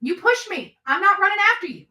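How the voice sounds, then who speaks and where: A woman's voice: very high voice, very animated, fast, pushed and bright, reverberant. A woman hosting her own show, talking casually to viewers in a blunt, emphatic way and repeating phrases for emphasis. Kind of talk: casual storytelling commentary to viewers.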